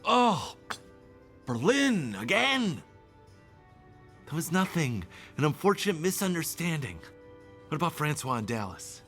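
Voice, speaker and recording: A man's voice: fairly high pitch, big pitch swings, a little slow, long pauses, a bit bright, soft background music. An actor playing an evasive, easily flustered male colleague in an audio drama, with a dramatic, defensive manner. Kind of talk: podcast audio drama acting.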